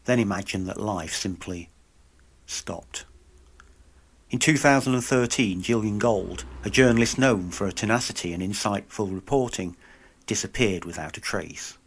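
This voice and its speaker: A man's voice: fairly low pitch, moderate pace, breathy edge. A man narrating a scripted true-crime podcast as investigator, solemn and dramatic.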